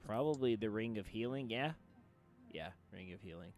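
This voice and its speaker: A man chatting casually on a game livestream at home, with playful, drawn-out phrasing. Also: fairly low pitch, lively intonation. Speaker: game streamer. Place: indoors at home. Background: game music faintly behind.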